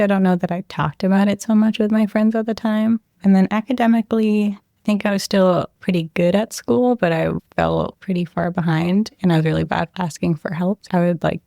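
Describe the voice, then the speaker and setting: A young woman, a medical resident, answering interview questions in a soft, reflective way, often hedging with "I think" and "like.". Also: medium pitch, vocal fry, no room sound, studio quality. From Israel, raised near Boston.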